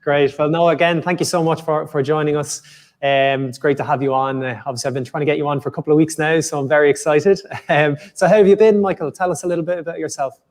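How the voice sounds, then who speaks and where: A man hosts a live stream and talks at a quick clip. His voice is fairly high for a man. It carries a long reverb, as if he is in a hall. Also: steady tone. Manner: upbeat, quick, with fillers. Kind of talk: casual interview.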